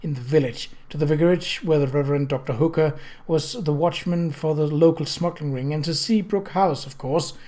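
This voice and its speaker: A brisk older aunt as played by a man reading an audiobook, self-possessed and tart. She speaks firmly, in a fairly high voice for a male reader. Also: dark tone, natural voice. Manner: firm, tart. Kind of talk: audiobook character voice.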